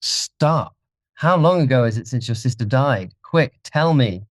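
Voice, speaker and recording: A man voicing a proud, haunted gentleman in an audiobook, agitated and curt. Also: medium pitch, big pitch swings, moderate pace, no echo, clean studio sound, voice pushed up. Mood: desperate, urgent. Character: haunted, youthful-sounding gentleman, proud, easily panicked. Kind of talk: dramatised audiobook character reading.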